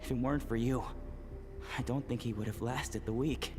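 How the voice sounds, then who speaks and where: An actor playing a desperate, secretive male scientist in a video game, speaking in an agitated, halting, dramatic way. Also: mid-range voice, fairly even intonation, faint music behind, lightly acted. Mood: desperate, pleading. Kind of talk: English video game voice acting.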